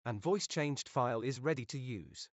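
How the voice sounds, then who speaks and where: A man narrating step-by-step software instructions as a tutorial presenter. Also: medium pitch, moderate pace, no room sound, dull audio. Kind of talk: instructional screen-recording narration.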